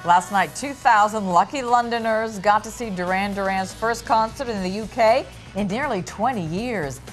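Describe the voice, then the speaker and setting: Woman, news co-anchor, medium pitch, scripted broadcast read, faint music bed. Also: moderate pace, rough recording. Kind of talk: scripted newscast delivery.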